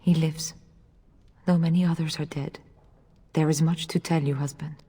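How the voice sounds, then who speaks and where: A woman playing a tender, sorrowful noblewoman and wife in a fantasy video game, with a breathy voice. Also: sits low, fairly even intonation, moderate pace, dark tone. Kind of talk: dramatic video game voice acting. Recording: long reverb.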